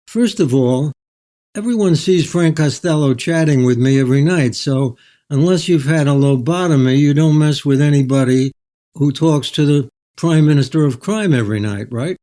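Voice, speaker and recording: Older guy, writer being interviewed, mellow voice, tells stories at a measured clip. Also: a little high. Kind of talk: storytelling in an interview.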